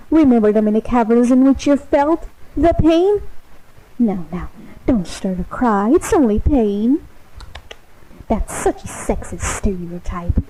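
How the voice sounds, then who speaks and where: A woman performs a sadistic artificial intelligence with a teenager's god complex. The voice sits fairly high yet mellow and dark, and it draws out each taunt very slowly. The delivery is theatrical game-villain monologue, hateful under a mock-sweet surface. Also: low-quality recording. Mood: menacing, mocking. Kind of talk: dramatic monologue, fan voice acting of game lines.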